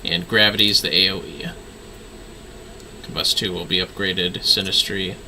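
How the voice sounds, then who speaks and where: A man's voice: sits low, fairly even intonation, normal speed, sharp tone, a little hiss or hum, poor audio. A man livestreaming a game with casual, playful commentary, often talking to himself and muttering skill names.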